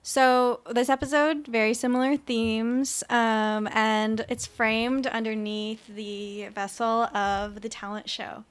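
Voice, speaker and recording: A woman's voice: fairly high pitch, little pitch movement, takes it slowly, few pauses, clear, resonant tone. A woman co-hosting a podcast, speaking in a casual, conversational way as she leads the chat. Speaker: podcast co-host leading the recap. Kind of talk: casual chat and episode recap.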